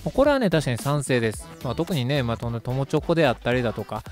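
A man's voice: mid-range voice, animated, fast. A man of about thirty hosting a casual radio talk, summing up and commenting in a polite, chatty way. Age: around 30. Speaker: radio host. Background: background music.

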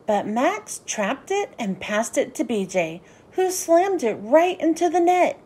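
A woman narrates a children's storybook in a high voice that swoops up and down. Her delivery is animated and deliberate, with a soft, mellow tone and an unhurried pace suited to young listeners. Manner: animated, sing-song, deliberate phrasing. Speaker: children's book read-aloud host.

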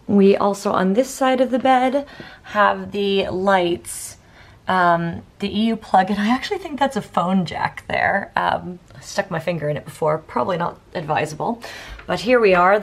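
A woman in her thirties, a travel vlogger giving a chatty, upbeat tour of a small hotel room, filming with a handheld camera. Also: medium pitch. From Boston, USA. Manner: chatty, upbeat, thinking aloud with fillers. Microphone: handheld camera at arm's length.

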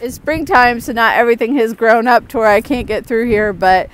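A woman's voice: on the high side, fairly quick, clean tone. A middle-aged woman chatting casually and candidly to viewers as a homestead vlogger, outdoors on an earbud mic. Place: outdoors in the woods.

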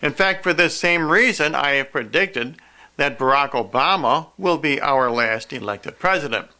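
An older man delivering an earnest religious monologue to camera in a deliberate, emphatic, didactic way. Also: fairly high pitch, expressive, sharp tone. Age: older, 60s-70s. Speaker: video preacher, host of a prophecy program.

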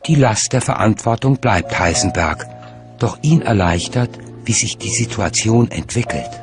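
A man's voice: lowish voice, animated, moderate pace. A man reading scripted narration for a documentary. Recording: music bed under the voice. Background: music.

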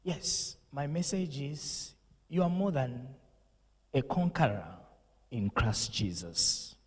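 A young pastor speaks slowly and solemnly into a handheld mic, with the emphasis of a preacher. His voice is husky, and a long reverb trails behind it. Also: medium pitch, expressive. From Zambia. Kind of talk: condolence message and prayer. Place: indoor studio set with purple lighting.

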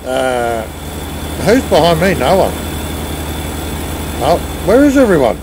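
A man's voice: a little high, very animated, slow pace, background noise. Australian man streaming, bantering with chat. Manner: banters, thanks chat viewers, swears playfully. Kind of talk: casual gaming chat. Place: home gaming room, indoors. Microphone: headset.

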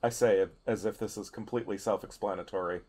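A man playing a tabletop role-playing game, speaking in a measured, wordy, dry way as he improvises dialogue. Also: lowish voice, fairly even intonation, a little mellow. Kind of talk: casual game chat and improvised in-character dialogue.

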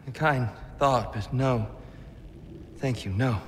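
An actor playing a broken, gentle former war god in a video game, timid and pleading. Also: male voice, medium pitch, takes it slowly, slight background noise, lightly acted. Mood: hesitant, wary. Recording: game cutscene audio with music behind.

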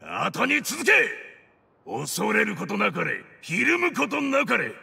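An actor playing a grim, proud leader of a desert fanatic warband in a video game, with a rough, theatrical, declamatory delivery. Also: male voice, sits high, huge pitch swings, slow, sharp tone, exaggerated voice. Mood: commanding, fierce. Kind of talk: dramatic video game voice acting. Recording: long reverb, music underneath. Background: game music.

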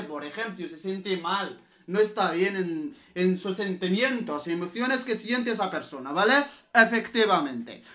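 A man's voice: extremely high pitch, sharp tone, echoey room. A man from Morocco with a Moroccan accent, a native Arabic speaker, teaching a grammar lesson in a repetitive, didactic way with frequent checking tags. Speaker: Spanish language teacher. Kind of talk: scripted-free grammar lesson with examples.

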